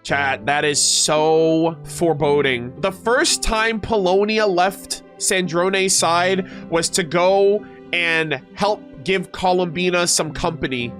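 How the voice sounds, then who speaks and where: A man streaming a reaction, reading story text aloud and breaking off into chatty, animated casual commentary. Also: a little high. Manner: chatty, animated asides between read passages. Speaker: streamer reacting to game lore.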